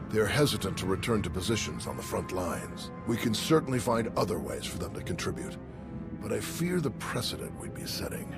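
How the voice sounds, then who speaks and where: An actor playing a grave, concerned warrior-monk master in a video game, speaking in a measured, formal way. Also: male voice, lowish voice. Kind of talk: video game dramatic acting. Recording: music under the voice.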